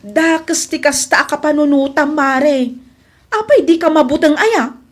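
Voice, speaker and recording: A woman's voice: high-pitched, measured pace. A Filipino-accented woman playing a sensible, scolding friend of a housemaid in a comedy drama. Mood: disapproving, incredulous. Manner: scolding, incredulous. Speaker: actor.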